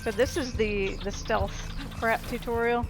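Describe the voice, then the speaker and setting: A woman's voice: a little high, steady tone, a little slow, rough recording. A woman giving casual gameplay commentary in an offhand, mildly critical way. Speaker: gaming streamer. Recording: game music behind.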